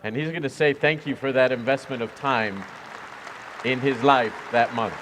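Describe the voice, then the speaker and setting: A man's voice: medium pitch, moderate pace, a crowd in the background, noisy background. A man who is a church minister, talking earnestly and reflectively in an interview, with frequent fillers. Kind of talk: conversational interview.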